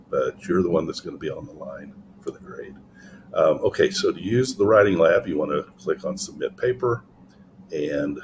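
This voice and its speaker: An older man, a college writing tutor, walks through an online tutoring tool from his office, explaining in a relaxed way with frequent ums. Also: deep voice, slight background noise. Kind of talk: screen-share tutorial walkthrough. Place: office, indoors.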